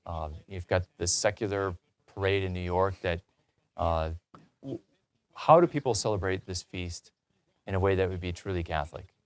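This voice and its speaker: Guy hosting a religious talk show, kinda deep voice, earnest and conversational. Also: moderate pace.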